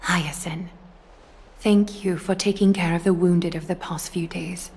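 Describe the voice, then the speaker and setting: Woman acting a low-voiced regal noblewoman, softly formal. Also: steady tone, natural voice. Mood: poised, courteous. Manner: formal, measured, courtly. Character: composed, regal noblewoman leader, gracious and formal. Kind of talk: video game voice acting.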